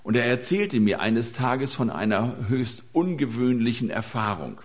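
A man's voice: medium pitch, expressive, unhurried. A man preaching a sermon to a gathering, telling anecdotes with small hesitations.

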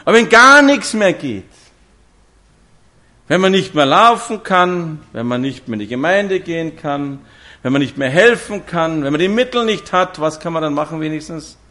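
Man in his fifties, lively sermon voice, somewhat high, storytelling preacher. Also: moderate pace. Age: 50s. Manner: lively, storytelling, direct questions to listeners. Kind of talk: sermon to a congregation.

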